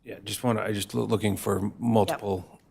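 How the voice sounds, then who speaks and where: A man's voice: lowish voice, little pitch movement, fairly quick, gravelly, a little mellow, husky. A man serving on a city council, asking a question at a meeting in a rambling, thinking-aloud way. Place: council chamber.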